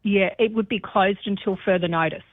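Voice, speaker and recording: A woman speaking as a council spokesperson in a radio interview, explaining in measured official phrasing and correcting herself as she goes. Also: medium pitch, fairly even intonation, no room sound. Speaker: city council operational spokesperson. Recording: narrow tinny phone line.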